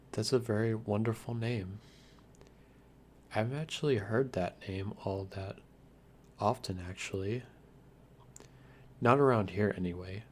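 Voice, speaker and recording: A man playing a shy, lonely, youthful vampire lord in an ASMR roleplay, stammering earnestly with hesitant, softly formal manners. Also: on the low side, close to an everyday voice. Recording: clear background hiss and noise.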